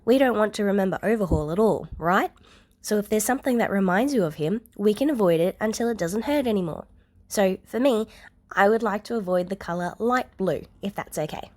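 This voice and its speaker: A woman's voice: mid-range voice, lively intonation, brisk, a little mellow, lightly acted. A woman voicing a caring older girl from a story, casual and reassuring, cheerful with an awkward streak.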